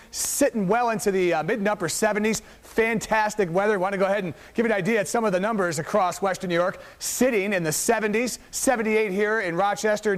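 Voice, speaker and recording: A man giving a TV weather forecast in an upbeat, chatty, enthusiastic manner from a news studio. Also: high voice. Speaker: chief meteorologist. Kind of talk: TV weather forecast, conversational broadcast.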